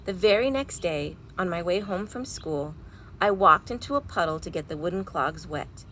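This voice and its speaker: A woman reading a children's storybook aloud in an expressive, animated way with dramatic pauses. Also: on the low side. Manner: animated, dramatic pauses, chanting sound words. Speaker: librarian reading picture books at storytime. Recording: noisy home recording.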